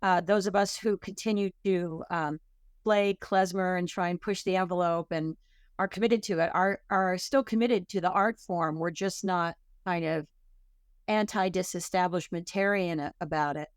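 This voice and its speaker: A woman's voice: lowish voice, fairly even intonation, normal speed, clean tone, dry recording, clean studio sound. A woman guest in an interview, answering in a chatty way with many fillers and restarts. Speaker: author and klezmer singer, guest.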